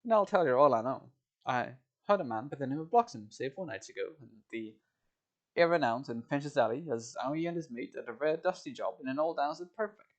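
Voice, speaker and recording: A man's voice: medium pitch, lively intonation, a little fast, dull audio, lightly acted. A man voicing an affable working-class London workman with a put-on Cockney accent. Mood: chatty.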